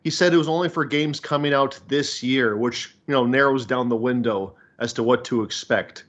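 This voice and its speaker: A man's voice: medium pitch, normal speed, a bit bright. A man on a gaming podcast panel, speaking enthusiastically in a conversational way. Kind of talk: casual chat.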